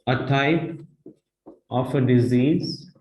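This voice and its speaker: Indian English teacher in an echoey classroom. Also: male voice, mid-range voice, darkish tone, slight background noise. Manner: repeats phrases slowly, checks in with "right?". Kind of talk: whiteboard vocabulary lesson. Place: classroom in front of a whiteboard. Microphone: lapel mic.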